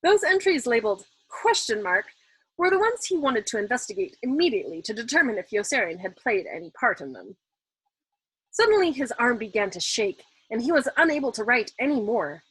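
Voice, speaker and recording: A woman reading a novel aloud in an expressive, dramatic audiobook style, as the host of a storytime. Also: fairly high pitch, a little fast, slightly rough, dry recording, low-quality recording.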